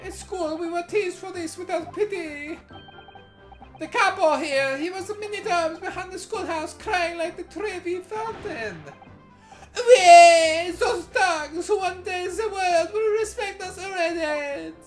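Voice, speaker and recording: A woman voicing an excitable, theatrical young Italian nobleman con man with a put-on Italian accent. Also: slow pace, faint music behind. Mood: tearful, boastful. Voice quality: high, loud, sing-song. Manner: theatrical, exclamatory, flattering.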